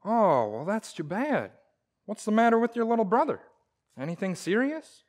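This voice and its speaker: A woman acts out a folksy, boastful husband from an old-time radio comedy. The voice swings widely in pitch, full of bluster and homespun exclamations. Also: sing-song, moderate pace, darkish tone. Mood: puzzled, indulgent.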